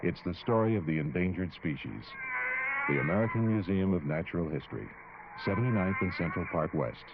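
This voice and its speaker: A man reading a scripted public service announcement as a radio announcer, earnest and measured. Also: sits low, lively intonation, heavy background noise. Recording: muffled radio spot, loud background sounds. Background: underwater whale recordings.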